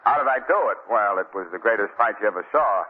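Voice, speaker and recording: An actor playing a blustering middle-aged police commissioner, brusque and emphatic, in 1940s radio drama style. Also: male voice, lowish voice, lively intonation, fast talker, slightly rough. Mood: relieved, boastful. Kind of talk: 1940s radio crime acting. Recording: muffled, scratchy 1940 radio recording.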